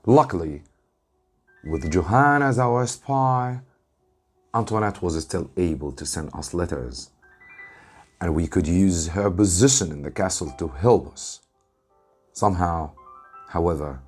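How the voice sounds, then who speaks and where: Slow, low-voiced man reading a story. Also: a little mellow, slightly rough. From Egypt. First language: Arabic. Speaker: English teacher reading aloud. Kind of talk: narrated storybook reading for students.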